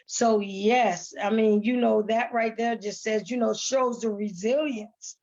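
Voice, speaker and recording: An American woman with a fairly high voice speaks casually as a guest on a video call. She is earnest and repeats herself for emphasis. The sound is somewhat dull. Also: fairly even intonation, measured pace, darkish tone. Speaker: stage play cast member as guest. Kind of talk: casual conversational interview. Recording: dull online call audio.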